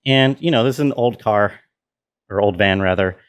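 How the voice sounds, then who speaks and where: A man's voice: fairly low pitch, normal speed. A man giving an informal walkthrough as a sound designer, thinking aloud as he explains. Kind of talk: casual tutorial walkthrough.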